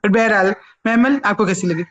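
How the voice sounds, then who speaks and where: A woman's voice: medium pitch, normal speed, a bit bright, dull audio. A woman with a Pakistani accent hosting a casual film review chat, mixing Urdu with English phrases. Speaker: talk show host and film reviewer.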